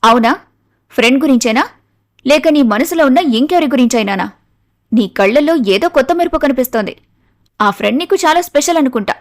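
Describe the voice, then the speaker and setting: High-voiced caring sister-in-law, acted by a woman. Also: animated, brisk, pauses often, dry recording, studio quality. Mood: gently probing. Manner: reassuring, motherly. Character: caring, wise, gently teasing elder sister-in-law, adult woman. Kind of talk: moral story dialogue for animation.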